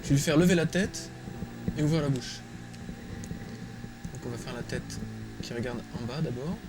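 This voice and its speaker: A man talks casually through a screen-recorded tutorial. His pitch is middling and he often mutters to himself in slangy, informal phrases. Loud music plays behind his voice. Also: normal speed, low-quality recording. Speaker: drawing tutorial presenter. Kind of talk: casual screen-recorded tutorial, thinking aloud while drawing. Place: small room at home, indoors.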